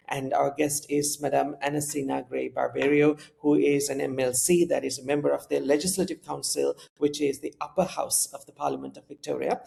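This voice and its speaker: A man hosts a podcast interview in a somewhat high, gravelly voice with a dark, mellow tone. He speaks with formal courtesy but halting phrasing, full of fillers and restarts. His questions wander as he searches for words. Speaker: podcast host. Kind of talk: hosted interview.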